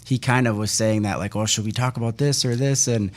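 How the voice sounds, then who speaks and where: Man, mid-pitch, loose rambling radio chat, lots of "you know" fillers. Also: steady tone, fairly quick. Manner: rambling, with many fillers and restarts. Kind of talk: casual chat, reminiscing.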